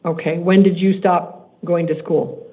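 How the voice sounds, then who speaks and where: A man acting as judge in a court hearing. He speaks in a stern, measured way and repeats himself when he is pressing a point. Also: high-pitched, steady tone. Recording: tinny court livestream audio.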